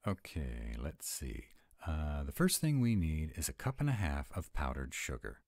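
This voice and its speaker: A man's voice: rumbling low, huge pitch swings, measured pace, darkish tone, no room sound, full character voice. A man voicing a grumpy, rule-bound were-dragon boyfriend in a roleplay audio, speaking with fussy, exasperated emphasis. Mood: fussy, cooperative.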